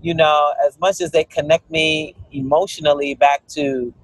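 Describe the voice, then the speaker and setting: A man's voice: fairly high pitch, fairly even intonation, normal speed, poor audio. A man from Guyana, a cookbook author, talking earnestly in an interview and often saying "you know". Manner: earnest, explanatory, frequent "you know" fillers. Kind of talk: interview conversation.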